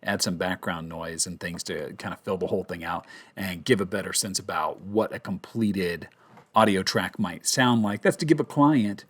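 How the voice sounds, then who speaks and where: A man's voice: low-pitched, moderate pace, a bit bright. A man talking casually and informally as he walks through a voice-over tutorial. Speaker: voice actor giving a tutorial. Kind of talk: casual instructional chat.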